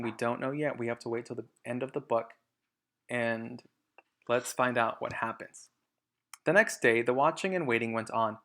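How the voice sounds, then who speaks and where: A man's voice: medium pitch, creaky, fairly bright tone. A man with a mid-range voice reads a children's story aloud. He narrates as a storybook reader and explains things plainly to those listening.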